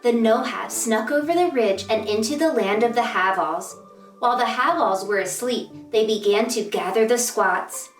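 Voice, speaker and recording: A woman with a fairly high voice reads a children's storybook aloud in an expressive, deliberate way. She sounds a little distant from the microphone, in a roomy space with some echo. Music plays along behind her. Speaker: host of a children's read-aloud show.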